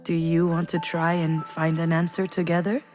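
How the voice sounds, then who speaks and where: A woman's voice: on the low side, level tone, normal speed, over music, close to an everyday voice. A woman playing a troubled, self-doubting, grieving mother in a narrative video game, speaking introspectively. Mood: reflective, searching. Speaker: voice actor in a visual novel game. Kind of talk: dramatic narrative game dialogue and introspective monologue.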